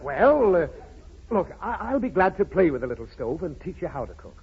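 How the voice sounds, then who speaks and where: A man's voice: high-pitched, big pitch swings, fairly quick, gravelly, exaggerated voice. An American man playing an exasperated, blustering father who scolds and protests in an old radio comedy. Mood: flustered, defensive. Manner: scolding, protesting, easily flustered. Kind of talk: 1930s radio comedy acting. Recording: muffled, hissy old 1930s radio recording.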